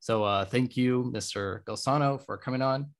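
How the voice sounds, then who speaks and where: A man's voice: medium pitch, normal speed. A man in his twenties chatting casually as the host of a podcast interview over a video call. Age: 20s. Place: room at home, video call.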